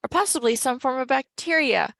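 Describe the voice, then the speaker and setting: Woman playing a self-assured storybook doctor, creaky. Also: high-pitched, animated, a little fast, fairly bright tone, no room sound, studio quality, voice pushed up, exaggerated voice. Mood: confident guessing. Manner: authoritative. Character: self-assured, authoritative doctor puzzling over strange symptoms. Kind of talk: children's storybook read-aloud.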